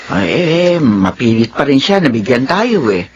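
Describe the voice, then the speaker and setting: An actress playing a caring but disapproving elderly mother with a husky, raspy voice in a radio drama. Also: extremely low pitch, very animated, a little slow, highs cut off, full character voice. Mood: caring, resigned.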